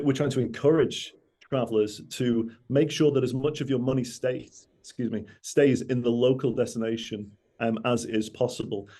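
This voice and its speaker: A man with a Mancunian accent talks through a presentation over a video call. His voice is mellow and warm. He wanders into asides, restarts his sentences and corrects himself as he goes. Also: mid-range voice. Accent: Mancunian English. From Manchester, UK. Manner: rambling, self-correcting, with frequent asides. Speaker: guest speaker.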